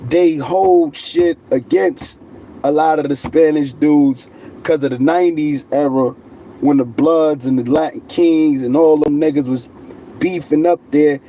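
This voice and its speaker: A middle-aged man holds forth in a loose, insistent monologue, peppered with street slang and "you heard?". His voice sits a touch high but sounds mellow and relaxed. Faint background noise and passing vehicles can be heard. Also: a little slow, rough recording. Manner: street slang, insistent, repeats "you know what I mean". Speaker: channel host. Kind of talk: casual monologue to camera.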